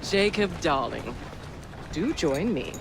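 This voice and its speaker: A suave, low-voiced Victorian businesswoman. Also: sing-song, slow pace, background noise, full character voice. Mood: smug, commanding. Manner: poised, silky, formal. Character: haughty, ruthless Victorian businesswoman, suave and sly. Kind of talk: video game cutscene acting.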